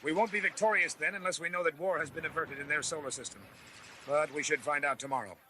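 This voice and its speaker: A man plays an earnest rebel king with a fairly high voice and rapid delivery. He acts in a space adventure audio drama with music behind him. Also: sharp tone, a little hiss or hum.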